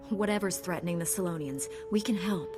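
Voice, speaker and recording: An actress playing an earnest, dutiful young warrior heroine in a video game, composed and resolute. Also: female voice, lowish voice, a little fast, lightly acted. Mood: calm, resolute. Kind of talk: scripted video game acting. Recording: game cutscene with music underneath.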